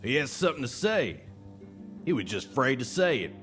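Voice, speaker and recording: A man's voice: mid-range voice, sing-song, a little fast, a bit bright, faint music behind. Brash Southern salesman, big swooping delivery. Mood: disdainful, folksy. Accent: American South. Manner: folksy, colourful drawling idioms, needling. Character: brash, folksy Southern salesman, sly and aggrieved. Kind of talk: scripted audio drama acting.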